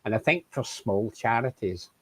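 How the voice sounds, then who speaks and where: Male charity rep, husky low voice, measured questioning, dry sound. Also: expressive, no room sound. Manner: measured, thoughtful, asks questions. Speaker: charity representative. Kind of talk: conversational interview.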